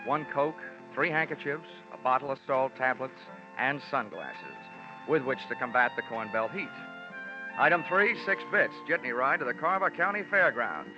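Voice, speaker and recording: An actor playing a cocky, wisecracking American insurance investigator, wry and theatrical, in a 1940s radio detective drama. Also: male voice, mid-range voice, expressive, moderate pace, music in the background, lightly acted. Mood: wry, deadpan. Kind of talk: 1940s radio acting, first-person detective narration. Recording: dull old radio recording.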